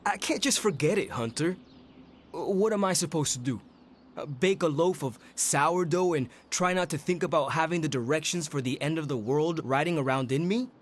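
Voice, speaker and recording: An actor playing a stubborn, anxious young hero in a video game, with a defensive, sardonic way of talking. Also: male voice, a little high, normal speed, close to an everyday voice. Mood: frustrated, sarcastic.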